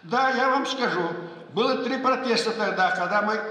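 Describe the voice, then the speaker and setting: An older man from Dagestan stands before a conference audience as an education official, stressing his points and repeating them. His voice sits high and sounds bright and forceful. The sound is very echoey, with the long ringing tail of a large hall. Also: normal speed. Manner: emphatic, lecturing, repeats key points. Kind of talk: conference speech.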